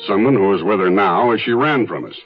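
An actor playing a methodical, weary police detective in a 1970s radio drama, speaking in a measured way. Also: male voice, fairly low pitch, animated, natural voice. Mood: sober narration. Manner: measured, clipped questioning. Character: methodical, weary male police detective narrating his case. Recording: dull 1970s radio recording, music behind.

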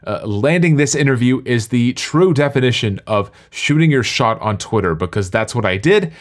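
A man's voice: mid-range voice, expressive, moderate pace. A man hosting a sports podcast in a semi-scripted, presenting style.

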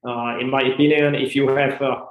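A man speaks at an ordinary mid pitch, halting and earnest, with plenty of "uh" fillers. He is a martial arts and strength instructor chatting as an interview guest. His voice carries a noticeable roomy echo. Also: level tone, narrow, tinny audio. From Czech Republic. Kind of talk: casual interview chat.

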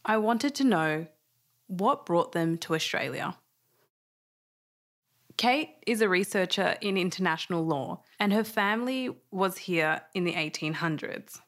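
Lowish woman voice, calm scripted documentary narration. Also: measured pace.

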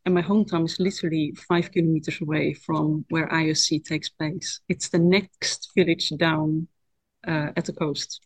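A woman's voice: sits low, steady tone, moderate pace, dry recording, rough recording. A Dutch woman with a Dutch accent, a podcast guest speaking English in casual interview chat, lightly teasing. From Netherlands.

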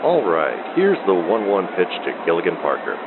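A man playing an unhurried radio baseball play-by-play announcer, with measured, formal phrasing in an old-fashioned fictional broadcast. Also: deep voice, wildly swooping pitch, exaggerated voice. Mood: measured, matter-of-fact. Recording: phone-like AM radio sound, loud background noise. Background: loud ballpark ambience.

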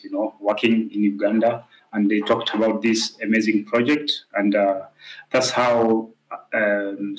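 A man's voice: lowish voice, poor audio. A man with a Kenyan accent, talking casually as a guest in an interview. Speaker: interview guest, professional cyclist.

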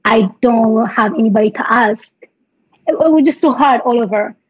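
Ethiopian woman, high husky voice, stops and repeats words, dry, kinda muffled recording. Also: no room sound. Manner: halting, repeats words, laughs while telling hard stories. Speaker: guest. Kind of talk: podcast interview, telling her life story.

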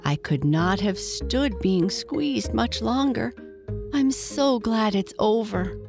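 A woman's voice: mid-range voice, expressive, takes it slowly, creaky, over music, voice pushed up. A woman voicing an anxious, plaintive male stuffed toy elephant in a children's storybook, fretful and lamenting. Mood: relieved.

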